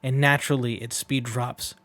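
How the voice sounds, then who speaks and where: A man's voice: mid-range voice, flat delivery, normal speed, fairly bright tone. A man hosting a manga read-along in a casual, informal way, with rambling asides between the lines he reads.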